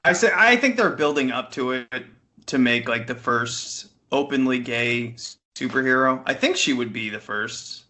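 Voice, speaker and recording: A man's voice: mid-range voice, expressive, normal speed, pushed and bright. A man co-hosting a podcast, giving informal, opinionated takes in a casual film discussion over an internet call. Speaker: podcast co-host. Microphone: Skype call.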